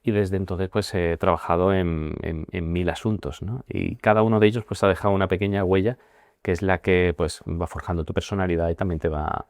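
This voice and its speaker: A man in his forties from Spain, a guest telling his career story in a measured, reflective way with frequent pauses and fillers. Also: low-pitched, steady tone, dry recording, studio quality. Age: 40s. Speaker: guest, managing partner. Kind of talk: conversational interview.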